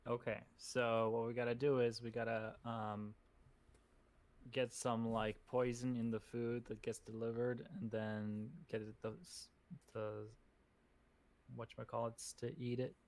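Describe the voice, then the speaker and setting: A man at a tabletop game talks at an ordinary middle pitch. He thinks aloud in halting bursts, stopping and restarting as he plans. His tone stays casual. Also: level tone, darkish tone. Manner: halting, full of fillers and restarts while planning. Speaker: player.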